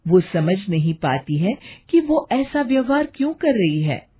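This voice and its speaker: A woman's voice: mid-range voice, big pitch swings, unhurried, husky, narrow, tinny audio. A woman narrator reading a scripted cartoon story in storybook style.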